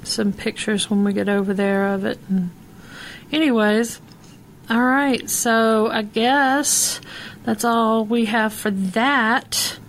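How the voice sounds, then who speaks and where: A woman's voice: on the high side, takes it slowly, a little hiss or hum. Dry-humored woman podcast host. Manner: dry-humored, laughing easily. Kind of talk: casual chat.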